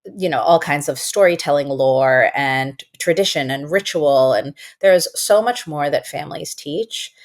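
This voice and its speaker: A woman educator, a native Farsi speaker, talking through her ideas in a conversational interview, thoughtful and emphatic, slowing to stress key words. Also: low voice. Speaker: guest educator and author.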